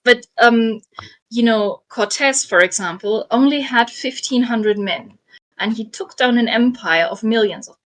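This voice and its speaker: A young adult woman casually telling a history story, with frequent ums and soft laughs. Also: fairly high pitch, unhurried, rough recording. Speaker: guest storyteller.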